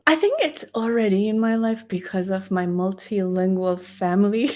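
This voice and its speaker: Halting woman, native Farsi speaker. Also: mid-range voice, lively intonation, mellow. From Iran. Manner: halting, many fillers and restarts. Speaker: podcast guest. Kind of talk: casual conversation.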